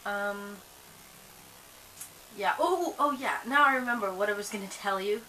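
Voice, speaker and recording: Bright-voiced Swedish woman, rambling vlog. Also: medium pitch, a little slow, poor audio. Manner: rambling, with many fillers and restarts. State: a bit sleepy. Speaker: vlogger. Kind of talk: casual chat to camera. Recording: faint hiss.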